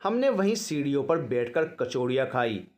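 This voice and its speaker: A man's voice: medium pitch, big pitch swings, normal speed, a little mellow. A man narrating a scripted first-person romantic story.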